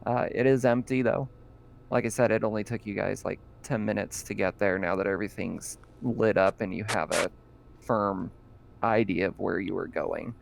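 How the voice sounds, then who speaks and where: Gravelly-voiced man running a tabletop game. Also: fairly low pitch, moderate pace, slight background noise. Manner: relaxed, chatty narration with laughs. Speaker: game master.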